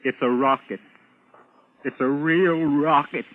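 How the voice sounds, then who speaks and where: A man's voice: on the high side, steady tone, slow. An actor playing an exasperated, commanding space captain in old-time radio drama style, desperate to prove that he is sane. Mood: urgent, insistent. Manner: emphatic, impatient, commanding. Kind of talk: 1940s-50s radio drama acting. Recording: noisy, tinny old radio recording.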